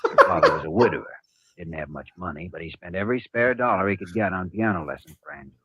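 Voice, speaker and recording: An actor playing an earnest, firm hospital doctor in a 1950s radio drama, theatrical and emphatic, on a tinny vintage recording. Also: male voice, low-pitched, fast talker, very noisy, close to an everyday voice.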